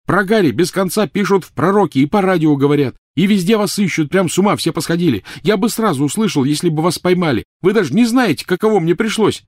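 A man's voice: mid-range voice, sing-song, fairly quick, darkish tone, no echo, clean studio sound, pitched above the performer's own voice. A man voicing a defensive, talkative teenage boy wizard pleading his case in an audiobook reading.

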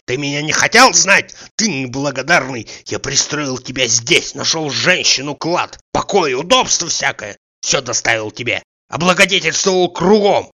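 A man voicing a greedy, abusive, aggrieved bully in an audiobook, ranting crudely and shouting. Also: on the high side, animated, moderate pace, hardly any pauses, full character voice. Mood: scornful, insulting. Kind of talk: dramatised audiobook dialogue.

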